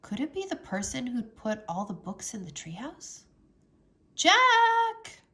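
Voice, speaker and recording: A woman reading a children's storybook aloud as a teacher, with expressive, dramatic narration. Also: mid-range voice, moderate pace.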